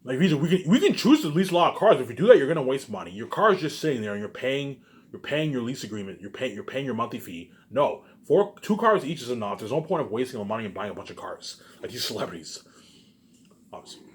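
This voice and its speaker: A man talks very quickly in a casual, rambling monologue at an average pitch. He repeats himself for emphasis and keeps punctuating his points with rhetorical check-in questions. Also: big pitch swings. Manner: repetitive, emphatic, with frequent rhetorical tag questions. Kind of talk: rambling casual monologue about personal plans.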